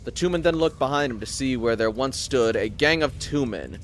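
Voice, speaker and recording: A man's voice: mid-range voice, lively intonation, fairly quick, faint music behind. A man narrating scripted fiction as a dramatic storyteller.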